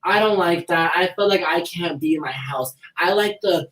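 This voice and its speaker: A woman's voice: fairly low pitch, normal speed, distant mic. A woman co-hosting a casual podcast, chatting bluntly in slang and swearing freely. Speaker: co-host of a podcast. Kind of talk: casual banter, profane. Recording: roomy echo, off the mic.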